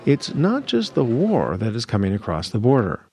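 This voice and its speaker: A male radio reporter narrating a scripted news feature in a measured, lively delivery. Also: mid-range voice.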